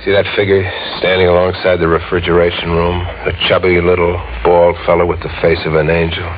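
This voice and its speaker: An actor playing a morbid young wax sculptor, intense and brooding, in the manner of 1940s radio drama. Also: male voice, deep voice, monotone, brisk, a little hiss or hum, natural voice. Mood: darkly matter-of-fact. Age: young adult. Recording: dull old radio broadcast with music. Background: music.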